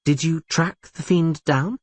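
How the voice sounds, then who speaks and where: A man's voice: on the high side, takes it slowly, dry recording, voice pushed up. A man voicing a jittery young male bard who sounds eager and nervous.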